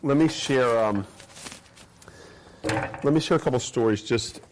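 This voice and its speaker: A man's voice: mid-range voice, measured pace, noisy background. Man teaching a church seminar. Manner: relaxed, hesitant, with fillers and restarts. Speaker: preacher leading a seminar. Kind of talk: seminar teaching, reading testimonies aloud. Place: church or meeting room with an audience.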